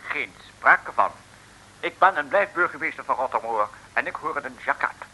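A pompous mayor from an old comic radio play, formal and quick to take offence. The voice is raspy yet very forceful, rising and falling with indignant dignity. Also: male voice, medium pitch, lively intonation, full character voice, poor audio. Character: pompous, stuffy male mayor, easily affronted. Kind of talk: 1950s comic radio serial acting.

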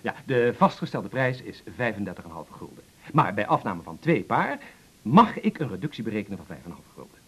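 A man's voice: lowish voice, sing-song, a little fast, fairly bright tone, breathy edge, exaggerated voice. An actor playing a slick yet flustered door-to-door salesman in a radio play, with hesitant sales patter full of jargon. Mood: persuasive.

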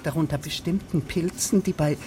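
A woman's voice: low-pitched, normal speed, warm tone, a little hiss or hum, lightly acted. A woman as a pompous, long-winded village official. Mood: indignant. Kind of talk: radio drama acting.

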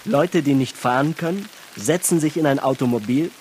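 A man's voice: on the high side, moderate pace, a little mellow, slight background noise, close to an everyday voice. An actor in a radio drama playing a smug, talkative bourgeois businessman, pompous, sardonic and fond of lecturing. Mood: mocking, lecturing. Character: smug, talkative bourgeois Berlin businessman, conservative and self-satisfied.

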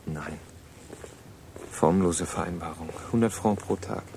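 Mellow, hesitant teenage boy. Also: low-pitched, unhurried, gravelly, slight background noise. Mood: guarded, reserved. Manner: hesitant, reserved, courteous. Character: polite, guarded eighteen-year-old American runaway boy. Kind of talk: radio play acting.

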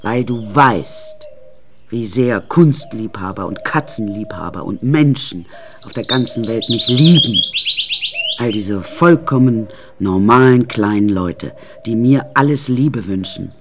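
A woman's voice: very deep voice, expressive, slow pace, background noise, heavily acted. An actress playing a vain, deluded middle-aged woman artist in an asylum, delivering a theatrical, self-important radio play monologue. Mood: taunting, needling.

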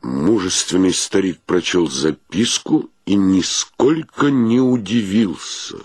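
A man's voice: low voice, animated, slow, a little mellow, slightly rough, no room sound, clean studio sound, full character voice. An actor playing a wry literary narrator, a man telling a comic tale in a radio play.

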